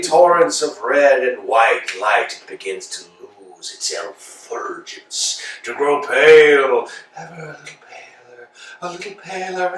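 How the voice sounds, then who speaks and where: An actor playing a pompous, blustering landowner in a stage reading, with grand theatrical delivery. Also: male voice, a little high, fairly bright tone, exaggerated voice. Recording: echoey room from far off.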